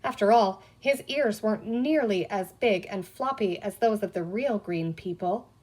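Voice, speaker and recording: A woman reading a children's story aloud as scripted, expressive narration. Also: mid-range voice, moderate pace, low-quality recording. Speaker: audiobook reader.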